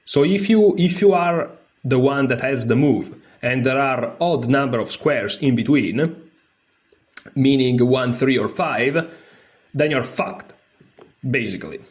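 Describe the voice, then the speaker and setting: A man who is a non-native English speaker, giving a casual lesson, reading aloud and adding candid, informal asides as he thinks out loud. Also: mid-range voice, a little slow. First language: not English. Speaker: chess teacher and streamer.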